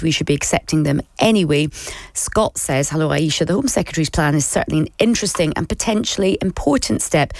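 Low-voiced, slightly croaky woman radio host. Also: a little mellow, no echo, rough recording. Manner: conversational, with fillers and restarts. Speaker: radio presenter. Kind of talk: radio phone-in presenting.

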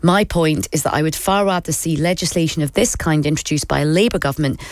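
A woman presenting a radio phone-in show, slightly croaky today, talking conversationally with some fillers and restarts. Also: deep voice, fast, dry recording.

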